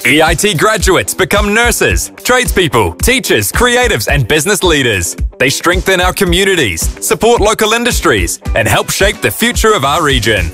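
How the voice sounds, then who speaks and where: A man's voice: high voice, sing-song, normal speed, few pauses, pushed and bright, soft background music. A man reading an inspirational advert for a college in an encouraging way. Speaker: ad voice-over. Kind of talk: inspirational ad read.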